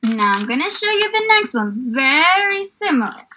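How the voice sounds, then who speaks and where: A woman's voice: high voice, very animated, normal speed, bright, forceful tone, slight background noise, rough recording. A young woman teaching small children in an encouraging, exaggerated child-directed way, full of questions. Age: young adult. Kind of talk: children's lesson and read-aloud. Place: small indoor room.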